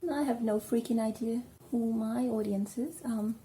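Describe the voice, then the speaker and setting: A talkative South African woman, recorded on a phone at home, speaks in a fairly high but mellow voice. She rambles loosely through a hair tutorial with plenty of ums. Faint background hiss sits under her speech. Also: steady tone, normal speed. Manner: chatty, rambling, with many ums. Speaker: vlogger. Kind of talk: casual hair tutorial chat.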